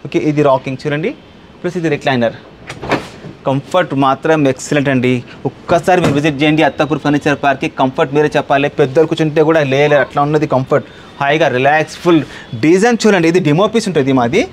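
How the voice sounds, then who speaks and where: Very fast-talking Hyderabad Telugu salesman. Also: fairly high pitch. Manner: repetitive, insistent salesman patter mixing in English words. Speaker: furniture shop owner and salesman. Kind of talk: unscripted sales pitch in a product showcase. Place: large indoor furniture showroom. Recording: clear background room noise.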